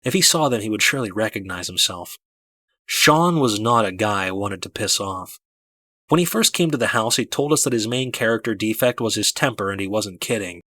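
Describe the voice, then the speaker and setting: Bright, close-miked man narrating an audiobook. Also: on the low side, lively intonation, a little fast, dry recording. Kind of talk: scripted first-person story narration.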